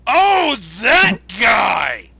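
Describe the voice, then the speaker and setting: A man ranting casually on an online voice call, exasperated and excitable, stammering and swearing when he gets worked up. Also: extremely high pitch, very slow, vocal fry, shouting. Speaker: guest commentator on an online video.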